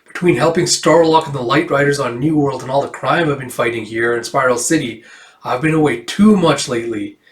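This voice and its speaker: Devoted comic-book hero father, acted by a man, reassuring, sincere, medium pitch, roomy sound. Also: brisk, close to an everyday voice. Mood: upbeat. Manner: earnest, reassuring. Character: devoted superhero father, earnest, gentle family man.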